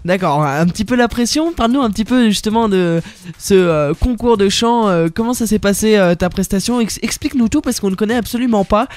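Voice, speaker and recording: A man's voice: high voice, brisk, a little mellow, no room sound. A young man hosting a radio interview, talking casually with many fillers and restarts. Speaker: radio host.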